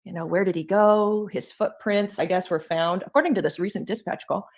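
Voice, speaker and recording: A woman's voice: mid-range voice, fairly even intonation, brisk, darkish tone. A woman giving informal true crime commentary in an emphatic, conversational way, repeating her points for weight.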